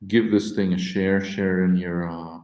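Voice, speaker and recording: A man with a lowish voice hosts a casual livestream call, pitching his lead service in an upbeat, loose salesman way and swearing freely. His voice rings out in an echoey room. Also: little pitch movement, moderate pace, darkish tone. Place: in Ukraine.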